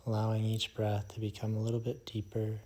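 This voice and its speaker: A man leading a guided meditation in a soothing, hushed manner, leaving long pauses between phrases. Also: fairly low pitch, monotone.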